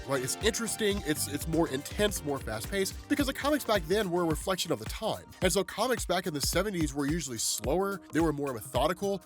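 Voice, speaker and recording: Congested, nasal man with a high voice. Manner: enthusiastic, lots of "man" and "right?". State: congested with a cold. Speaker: comics explainer host. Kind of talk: excited casual commentary.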